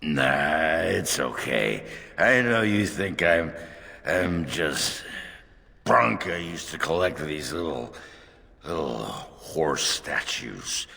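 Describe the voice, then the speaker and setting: An actor playing a crude, boozy, gruff dwarf warrior in a video game, with a gravelly growl and blunt, slurred delivery. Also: male voice, extremely low pitch, animated, slow pace, bright, forceful tone, long reverb tail, heavily acted. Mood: drunken, rambling.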